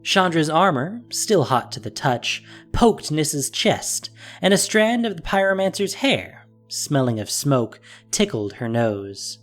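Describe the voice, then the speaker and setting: A man's voice: a little high, very animated, measured pace. Male fantasy narrator over music. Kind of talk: scripted fantasy story narration.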